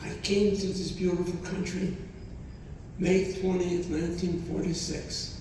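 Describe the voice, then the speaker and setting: An elderly man in his nineties, speaking English as a second language, tells his story slowly with many restarts and repeated phrases. His voice is mellow and fairly high for a man. He is recorded from a distance in a large echoing hall with faint room noise. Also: level tone. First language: not English. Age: 90s. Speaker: Holocaust survivor speaker. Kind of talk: testimony to students. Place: college hall. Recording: echoey hall from far off.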